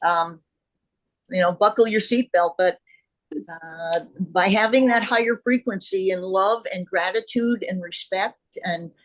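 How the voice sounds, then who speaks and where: A woman's voice: mid-range voice, a little slow, rough recording. A woman talking in a group discussion, rambling with many fillers in a hopeful tone. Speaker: discussion participant.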